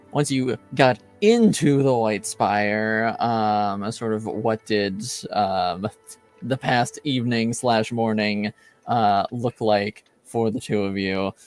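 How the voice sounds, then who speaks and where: A man runs a tabletop roleplaying game as game master. He narrates casually in a lowish voice, with faint music in the background. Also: smooth.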